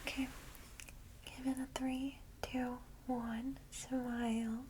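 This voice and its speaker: A woman whispering softly and soothingly in an ASMR roleplay as a caring, old-fashioned vampire guide, in a breathy voice. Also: high-pitched, little pitch movement, background noise. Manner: gentle, soothing, slow reassurance.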